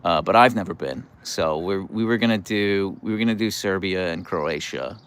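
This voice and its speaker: A middle-aged American man hosting a casual livestream, playful and wry, reading out comments and answering in halting starts. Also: sits low, a bit bright, clean tone. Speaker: livestream host.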